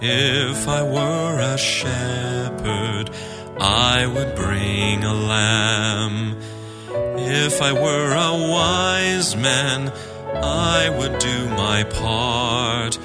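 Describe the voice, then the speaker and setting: A female singer delivers a Christmas carol in a very low register, drawn out extremely slowly. Loud instrumental music accompanies her. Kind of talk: slow sung Christmas carol.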